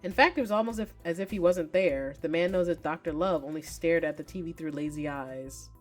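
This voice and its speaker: A woman's voice: sits low, fairly quick, faint music behind, close to an everyday voice. A woman reading aloud as a wary, weary young man narrating a visual novel, guarded and dry. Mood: pensive.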